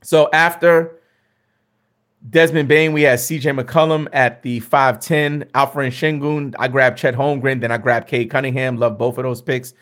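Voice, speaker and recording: A young man, slightly hoarse, chatting casually with viewers as he hosts a fantasy basketball mock draft, often repeating himself. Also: medium pitch, steady tone, a little slow, fairly bright tone.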